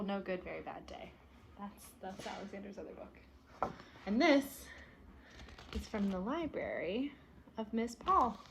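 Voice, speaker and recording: A woman's voice: medium pitch, slight background noise. A woman reading a picture book aloud and adding her own asides, as a storyteller does.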